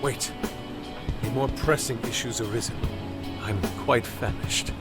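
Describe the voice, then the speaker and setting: An actor playing a serious, formal young man in a video game cutscene, measured and dryly dramatic. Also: mid-range voice, natural voice. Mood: grave. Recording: music behind the voice.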